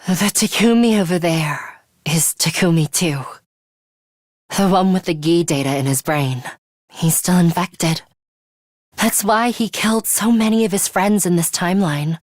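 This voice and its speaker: A woman voicing a cool, guarded young female fighter in a video game, with a measured, composed and imperious way of speaking. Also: fairly low pitch, no room sound, clean studio sound. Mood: somber, confessing. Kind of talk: English video game voice acting, dramatic anime-style dialogue.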